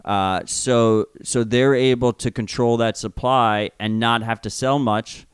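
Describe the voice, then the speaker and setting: A man's voice: medium pitch, fairly even intonation, unhurried, smooth, no room sound, studio quality. A man with a New York accent, co-hosting a casual podcast, speaking bluntly and swearing now and then. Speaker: podcast co-host.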